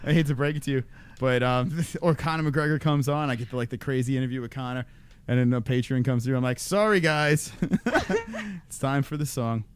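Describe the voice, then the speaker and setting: Loud, joking male podcast host. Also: medium pitch, a little fast, smooth. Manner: loud, joking, hyped announcing. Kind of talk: casual chat.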